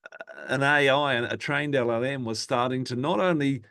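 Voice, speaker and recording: A man's voice: medium pitch, steady tone, moderate pace, a bit bright. A man moderating an informal panel discussion, introducing questions and prompting speakers conversationally.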